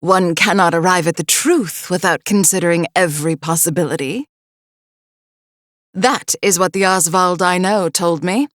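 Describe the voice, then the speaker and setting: A woman voicing a loyal, skeptical scholar's assistant in a video game, with formal, deliberate and theatrical speech. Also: on the low side, dry recording, clean studio sound, lightly acted. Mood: suspicious. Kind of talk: dramatic video game voice acting.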